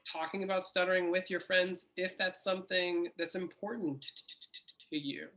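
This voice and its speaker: A woman's voice: on the low side, steady tone, normal speed, fairly bright tone. A woman hosting an interview, asking questions and stuttering openly with repeated sounds. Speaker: host, speech therapist.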